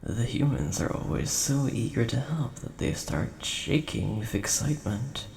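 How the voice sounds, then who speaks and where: Man as a slow, gravelly, courteous nerdy dragon. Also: medium pitch, big pitch swings, a little mellow. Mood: warm, chatty. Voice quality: gravelly, creaky. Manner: polite, measured, mildly indignant. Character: large, mild-mannered, nerdy male dragon, easily offended coin collector. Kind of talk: scripted audio roleplay addressed to the listener. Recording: roomy echo, faint background hiss.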